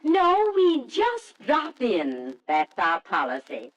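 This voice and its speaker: A politely firm adoption official in a vintage radio drama. She is businesslike and measured in her wording, with a very expressive rise and fall. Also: fairly high pitch, muffled recording, exaggerated voice. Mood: formal, brisk. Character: brisk, formal woman adoption agency official. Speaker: actress. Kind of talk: 1940s radio drama acting.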